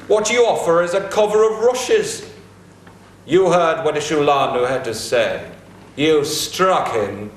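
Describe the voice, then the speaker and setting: A man's voice: high-pitched, a little hiss or hum. A man playing a scornful heroic warrior-king. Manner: slow, weighty, scornful declamation. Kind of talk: theatrical dramatic reading. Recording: echoey room.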